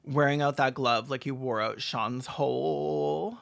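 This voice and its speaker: A video essay host doing sarcastic comedic commentary with mock-serious, deadpan jokes. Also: medium pitch, a little slow, gravelly.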